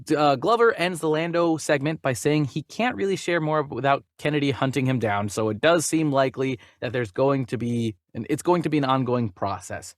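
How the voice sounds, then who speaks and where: A man's voice: mid-range voice, brisk, few pauses, no echo, studio quality. A man co-hosting a casual movie news podcast, chatty and enthusiastic in a geeky way. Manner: chatty, enthusiastic self-described geek. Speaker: podcast co-host.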